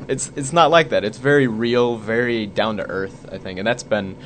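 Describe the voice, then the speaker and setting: A young man, a student, speaking haltingly with fillers in a group discussion. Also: fairly low pitch, fairly bright tone. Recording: background noise.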